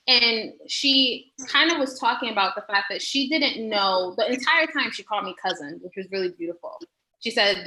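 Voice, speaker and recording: A woman's voice: mid-range voice, pushed and bright, reverberant, poor audio. A woman on a discussion panel, speaking reflectively and often repeating words as she searches for the next thought. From United States.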